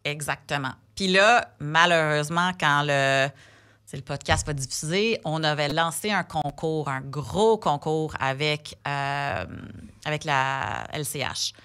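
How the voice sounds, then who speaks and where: Femme anglophone, français québécois, voix un peu grave, bavarde, hésitations fréquentes. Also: female voice, low-pitched, unhurried, fairly bright tone, smooth. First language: English. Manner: chatty, many fillers and restarts. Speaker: sponsor representative guest. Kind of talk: casual podcast conversation.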